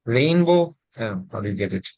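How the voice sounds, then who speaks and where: A man's voice: mid-range voice, animated, moderate pace, darkish tone, no room sound, muffled recording. A man taking part in a quiz, giving short answers and casual reactions. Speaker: quiz contestant.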